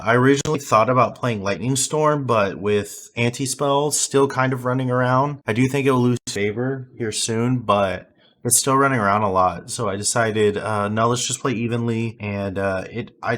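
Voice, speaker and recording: A hoarse young man, having lost his voice, casually reviewing his card game deck with rambling fillers and restarts. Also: medium pitch, fairly bright tone.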